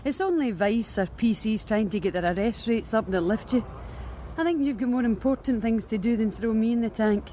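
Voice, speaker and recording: An actress playing a blunt, streetwise young street worker with a Scottish accent in a radio drama, wry and matter-of-fact. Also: female voice, a little high, a little fast, mellow, a little hiss or hum, lightly acted. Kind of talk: BBC radio drama acting.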